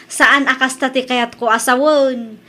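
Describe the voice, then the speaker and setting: Woman playing high-voiced polite Ilocano village woman. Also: steady tone, measured pace, sharp tone. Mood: friendly, hesitant. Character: polite village woman, friend and cake maker. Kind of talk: audio drama acting.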